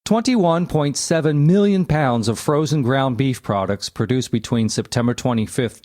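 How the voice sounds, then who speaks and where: A man's voice: mid-range voice, darkish tone, no echo. Man reading an article in dry sound. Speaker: narrator reading an encyclopedia article aloud. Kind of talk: scripted narration.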